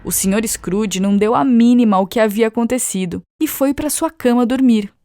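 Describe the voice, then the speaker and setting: A woman reading scripted narration for an animated children's story. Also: a little high, moderate pace, faint music behind.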